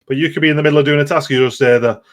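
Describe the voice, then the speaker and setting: A man co-hosting a podcast, telling anecdotes about games in a casual, slightly halting way. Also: on the high side, fairly even intonation. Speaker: podcast co-host.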